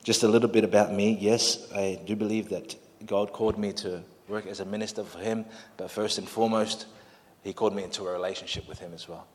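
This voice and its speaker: A fairly low-pitched man from Samoa, Samoan his first language, talks warmly and casually to a congregation as their pastor. His voice carries a long reverb from a church hall. He slips in light, self-deprecating jokes. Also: steady tone, brisk. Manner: friendly, chatty, self-deprecating asides. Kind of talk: sermon introduction.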